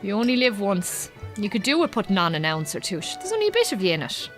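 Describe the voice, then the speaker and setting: An actress playing a chatty, kindly middle-aged Irish farmer's wife in a radio drama, with an Irish accent and a frank, colloquial manner. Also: female voice, mid-range voice, huge pitch swings, fast talker, music in the background. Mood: teasing, friendly. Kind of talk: naturalistic radio drama acting.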